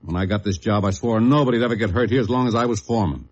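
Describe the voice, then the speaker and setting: A man playing a gruff, proud older factory foreman, curt and defensive, in a mid-century radio drama. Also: fairly low pitch, very fast, close to an everyday voice. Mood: gruff, bitter. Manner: curt, tough-guy talk. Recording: dull old radio recording.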